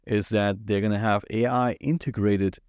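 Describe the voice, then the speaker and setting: A man in his forties with a German accent, chatting casually on a podcast in a small studio, relaxed and rambling with plenty of fillers. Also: on the low side, moderate pace, clean tone, dry recording. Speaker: IT company owner, podcast co-host. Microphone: studio mic on boom at the mouth.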